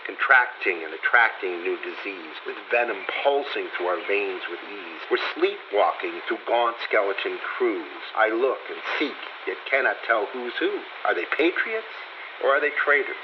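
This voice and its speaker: An actor playing a conspiratorial narrator who warns the audience in an urgent, theatrical, rhetorical verse monologue. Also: male voice, fairly low pitch, lively intonation, moderate pace, natural voice. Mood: fervent, warning. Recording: dull, noisy radio-like recording.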